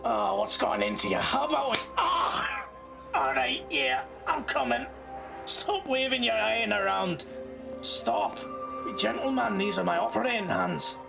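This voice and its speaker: A man voicing a small, jittery, bearded back-alley doctor in a noir audio drama, whining and protesting in a strained high voice. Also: wildly swooping pitch, a little slow, creaky, music in the background, heavily acted. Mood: alarmed, pleading. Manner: whiny, flustered protesting.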